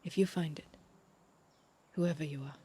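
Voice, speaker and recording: A woman's voice: sits low, fairly even intonation, measured pace, mellow, slightly rough. An actress playing a sentimental, heartbroken woman clinging to her lover in an audio drama. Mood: mournful, reflective. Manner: emotional, pleading, echoing the other's words.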